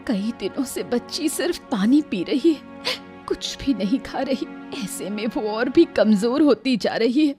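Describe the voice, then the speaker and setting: A woman's voice: a little high, lively intonation, a little slow, dark tone, soft background music. A woman voicing an anxious, despairing young village mother in a cartoon moral story, sounding fearful and sorrowful.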